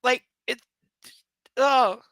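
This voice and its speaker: A woman reads a novel aloud on a live stream from home, her bright, fairly high voice close and dry on a camera mic. She keeps stopping to poke fun at the story in chatty, sarcastic asides. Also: drawn-out words, clean tone, no echo. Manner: sarcastic, chatty asides between read passages. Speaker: book reader and streamer. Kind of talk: live book reading with casual commentary.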